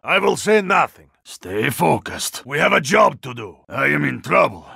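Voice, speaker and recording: Video game hero: hulking Russian soldier, terse and formal, Russian accent, husky forceful delivery with huge swings in pitch. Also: male voice, big pitch swings, no echo, studio quality, exaggerated voice. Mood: calm, grave. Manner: terse, formal, deliberate phrasing. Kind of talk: video game character voice lines.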